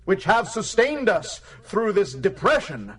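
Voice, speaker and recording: An actor playing a fervent orator addressing an assembly in a radio drama, with grandiose, preacherly emphasis. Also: male voice, high-pitched, very animated, a little slow, fairly bright tone, slightly rough, far from the mic, full character voice. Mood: uplifting. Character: fervent male rabble-rousing orator addressing a community assembly. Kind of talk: theatrical political speech in radio drama.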